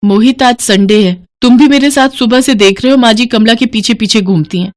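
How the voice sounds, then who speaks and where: Woman playing a brisk, higher-voiced city wife. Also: close to an everyday voice. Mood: worried. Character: busy young city wife, brisk employer. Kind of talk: moral story cartoon voice acting.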